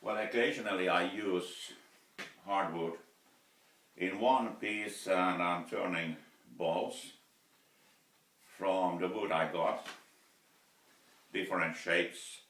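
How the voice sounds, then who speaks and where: A Finnish man in his fifties speaks English with a very deep voice, slowly and haltingly. He is heard through a camera mic several feet away in a roomy workshop, with faint noise behind him. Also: steady tone, a bit bright. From Finland. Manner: slow, halting, many fillers and restarts. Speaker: woodturner vlogger. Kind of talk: casual workshop vlog. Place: cluttered indoor wood shop. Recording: roomy workshop sound from a distance.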